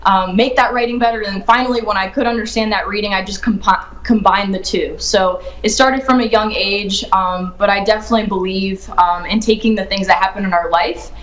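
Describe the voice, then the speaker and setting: Young woman from Ohio, book author, sincere and upbeat, middle pitch, roomy echo. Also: steady tone, fairly quick, few pauses, a bit bright. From United States, grew up in Ohio. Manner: earnest and upbeat, thinks aloud. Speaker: children's book author, guest. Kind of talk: remote interview answers. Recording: echoey room.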